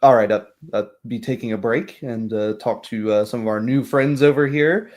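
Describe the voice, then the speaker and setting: A man's voice: medium pitch, steady tone, moderate pace, darkish tone, close to an everyday voice. A man voicing a tall, gaunt male elf farmer in a tabletop game, weary and frank, talking hesitantly with sighs. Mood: amiable. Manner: sighing, hesitant, candid.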